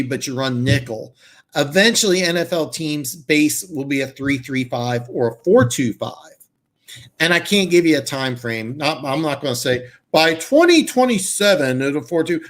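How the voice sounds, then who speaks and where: A man hosting a live football chat stream, answering viewers in a rambling, enthusiastic way, his voice hoarse and congested. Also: mid-range voice. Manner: rambling, many restarts and fillers, enthusiastic.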